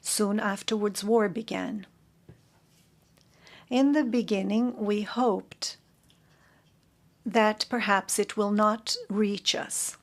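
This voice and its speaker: Female court interpreter, middle pitch, very slow and halting, corrects herself. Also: steady tone. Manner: measured, halting, with self-corrections. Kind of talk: interpreting a formal statement.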